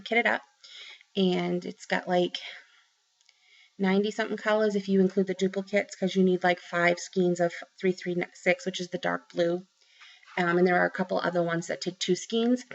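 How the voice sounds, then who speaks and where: A woman's voice: lowish voice, gravelly, fairly bright tone. A woman in her forties with a Boston accent, chatting to camera about her craft haul, rambling with lots of ums and restarts. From Boston area, Massachusetts. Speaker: craft vlogger. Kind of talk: casual chat to camera. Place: small room at home, indoors. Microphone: webcam close up. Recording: dull lo-fi webcam sound.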